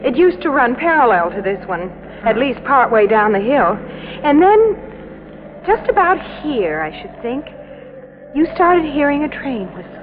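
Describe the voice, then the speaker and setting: An actress playing a knowing, teasing sweetheart in a vintage radio drama. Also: female voice, high voice, big pitch swings, a little slow. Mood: knowing, insistent. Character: knowing, teasing sweetheart explaining a local ghost legend. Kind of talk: 1950s radio drama acting. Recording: noisy, muffled old radio recording.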